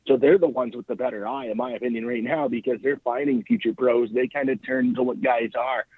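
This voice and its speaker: An Ontario Canadian man talks sports casually as a call-in analyst. His voice is medium in pitch, and he is chatty and enthusiastic, tagging points with "right?". It comes over a dry, thin phone connection. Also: animated, brisk, vocal fry, slightly rough, no echo. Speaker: podcast host calling in as guest analyst. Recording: narrow phone-line call.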